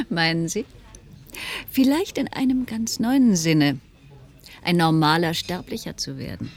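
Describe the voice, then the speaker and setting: Ironic society woman for radio play, lower register, animated pitch, teasing and breathy. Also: takes it slowly, heavily acted. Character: mocking, worldly younger woman dining with a rich suitor. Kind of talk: German radio play acting.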